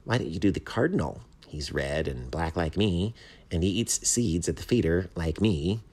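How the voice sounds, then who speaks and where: A man's voice: deep voice, expressive, normal speed, darkish tone, breathy edge. A man voicing an eager, curious, chatty little chipmunk in a children's storybook. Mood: excited, helpful. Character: eager chatty pleased chipmunk, small forest animal. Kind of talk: children's storybook read aloud.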